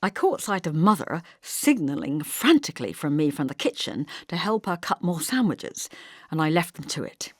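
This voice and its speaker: A woman's voice: deep voice, sing-song, normal speed, slightly rough, no echo, clean studio sound. A woman narrating a novel as an audiobook, reading expressively from a script.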